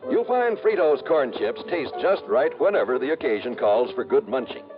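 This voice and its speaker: A man's voice: medium pitch, expressive, moderate pace. A male American announcer with a polished sales delivery in the style of an old radio commercial. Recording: muffled vintage radio, music bed.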